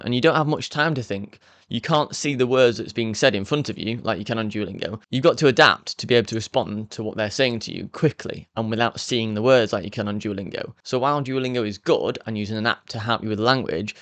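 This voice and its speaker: A man, hosting a language learning channel and explaining step by step in a brisk, upbeat way. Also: on the low side, a bit bright, no room sound. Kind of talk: explainer talking to camera.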